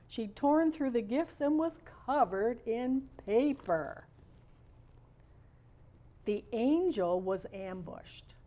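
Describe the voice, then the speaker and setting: A woman reading aloud expressively and deliberately as a storyteller in the role of Mrs. Claus, with bits of casual chat. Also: on the high side, darkish tone.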